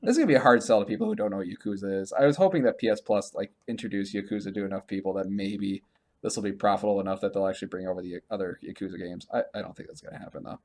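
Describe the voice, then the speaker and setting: Male gaming podcast host, slightly low-pitched, loose conversational delivery with frequent stammers and repeats. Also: rapid-fire, a little mellow. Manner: rambling, stammering, repeats himself. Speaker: video game podcast host. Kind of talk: casual chat about gaming news.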